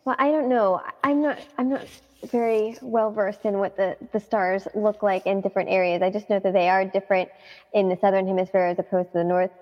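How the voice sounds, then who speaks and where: A woman interviewer asking curious, gentle questions in a casual chat, with soft fillers and hedging. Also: medium pitch, little pitch movement, brisk, long reverb tail, lo-fi sound.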